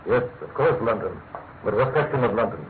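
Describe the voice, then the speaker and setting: A man playing an exacting older spy chief in a radio drama, dry, sardonic and deliberate. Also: fairly low pitch, animated, lightly acted. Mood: reflective, grave. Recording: muffled phone-like audio with faint noise.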